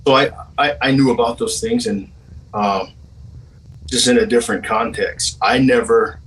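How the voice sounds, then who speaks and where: A man in his forties from Hawaii, telling his own story as a guest in a casual, halting way with many restarts and fillers. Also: mid-range voice, a bit bright, far from the mic, poor audio. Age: 40s.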